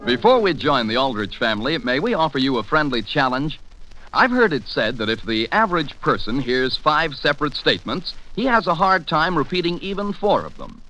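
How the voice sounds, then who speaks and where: A lively, bright-voiced American man announces in 1940s radio style. His pitch swings freely through polished, scripted sponsor copy, with music around him. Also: fairly quick, low-quality recording.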